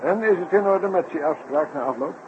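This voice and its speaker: Actor as halting circus man, noisy recording. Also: on the high side, lively intonation, natural voice. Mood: friendly, hesitant. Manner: halting, repeats words. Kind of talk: 1960s radio drama dialogue. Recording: muffled, clearly noisy 1960s radio recording.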